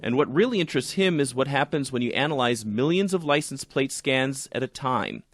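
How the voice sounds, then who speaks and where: A man narrates a news feature from a script. His voice sits at a middle pitch, and he tells it conversationally, like a reporter walking through a story. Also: lively intonation, brisk, a bit bright.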